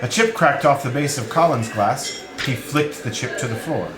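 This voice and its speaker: A man's voice: mid-range voice, moderate pace, over loud music. Echoey male audiobook narrator. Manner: literary storytelling. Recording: roomy, echoing sound.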